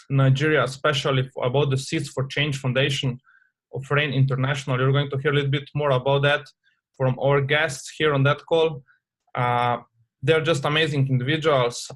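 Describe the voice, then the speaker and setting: Halting man hosting a call. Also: mid-range voice. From Slovenia. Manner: hesitant, halting phrasing. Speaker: call host. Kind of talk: introducing guests on a conference call.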